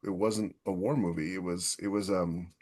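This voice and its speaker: A man's voice: low-pitched, steady tone, normal speed, a little mellow. A man co-hosting a podcast, talking in a casual, conversational way. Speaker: podcast co-host. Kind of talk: casual chat.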